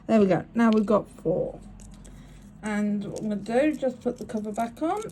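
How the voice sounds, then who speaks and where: A woman's voice: medium pitch, lively intonation, normal speed, dark tone, a little hiss or hum. A woman, sniffly with a cold, talking through each step of making a bracelet in a chatty, apologetic way. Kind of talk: crafting tutorial.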